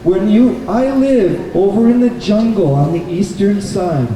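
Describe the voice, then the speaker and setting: Man voicing a high, grateful storybook monkey. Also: a little slow, warm tone, a little hiss or hum. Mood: generous, friendly. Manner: polite, effusive, reassuring. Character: grateful, kindly, polite talking monkey. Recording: echoey, distant live event recording.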